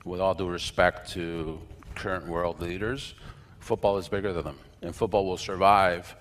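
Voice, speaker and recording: A deep-voiced man making a public statement as a football official. He speaks slowly and deliberately, weighing each phrase. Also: steady tone, fairly bright tone. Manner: slow, measured, deliberate.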